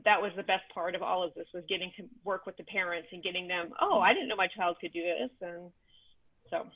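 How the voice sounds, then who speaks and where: A woman's voice: fairly low pitch, brisk. A woman from Texas, a mobility instructor, telling a chatty story with many fillers over a video call. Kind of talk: casual anecdote on video call. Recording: tinny phone-like line.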